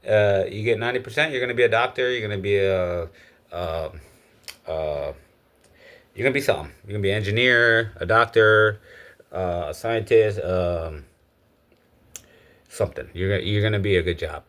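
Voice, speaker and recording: A man's voice: fairly low pitch, normal speed, long pauses, a bit bright. A man from Egypt giving a casual, opinionated spoken monologue. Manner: opinionated, lecturing. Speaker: speaker giving his views.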